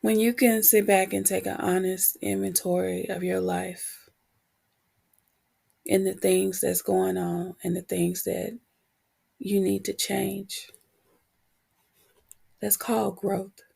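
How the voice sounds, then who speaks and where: Woman doing a solo podcast, really creaky voice, getting over a cold, unhurried and candid. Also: medium pitch, flat delivery, warm tone. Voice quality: husky, cracking, gravelly voice. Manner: candid, unhurried, pausing between short phrases. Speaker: podcast host and motivational coach. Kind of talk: reflective solo podcast talk.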